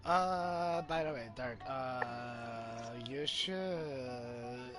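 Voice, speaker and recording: A man's voice: medium pitch, drawn-out words. A man giving casual gameplay commentary as a streamer. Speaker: game streamer. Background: loud game music.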